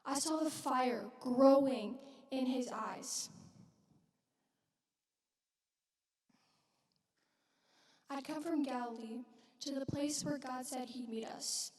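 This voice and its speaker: A woman playing a widowed young mother from biblical Galilee in a dramatic church monologue, speaking earnestly and haltingly with weighted pauses. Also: sits high, a little mellow. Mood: awed. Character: widowed young Galilean mother, wounded, reverent witness of Jesus. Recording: echoey hall, distant microphone.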